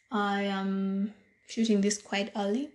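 A woman in her thirties with a Kenyan accent hosts her own talk show alone. Her voice is a bit hoarse this morning, and she talks in a slow, relaxed way, filling her pauses with ums and uhs. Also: mid-range voice. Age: 30s. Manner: slow, deliberate, chatty with frequent fillers. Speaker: podcast host. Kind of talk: casual solo monologue.

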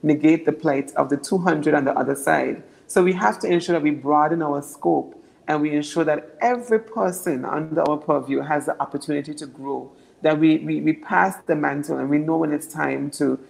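Deep-voiced young woman, gravelly. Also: normal speed, darkish tone, low-quality recording. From Anguilla. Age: young adult. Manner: earnest, repeats words as she builds points. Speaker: political candidate. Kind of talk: interview answers.

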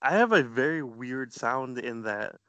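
A man's voice: mid-range voice, expressive, a little slow, sharp tone, no room sound, dull audio. A man from the southern United States, co-hosting a podcast in casual chat, rambling as he searches for words. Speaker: podcast co-host.

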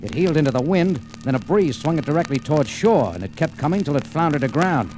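An actor playing a hardboiled American adventurer, narrating and talking tersely and wryly in 1940s radio noir style. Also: male voice, fairly high pitch, big pitch swings, quick pace, a little mellow, faint music behind, low-quality recording. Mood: wry narration. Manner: terse, world-weary, wry.

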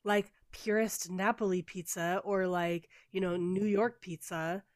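A woman's voice: mid-range voice, fairly even intonation, measured pace, no echo, studio quality. A woman co-hosting a casual podcast, putting in wry, skeptical asides. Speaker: podcast co-host. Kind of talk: casual chat.

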